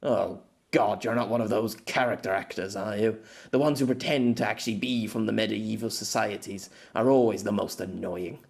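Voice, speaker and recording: A man's voice: on the low side, moderate pace, vocal fry, darkish tone. A man voicing a grumpy, condescending novelist with a pompous, exasperated, sardonic manner.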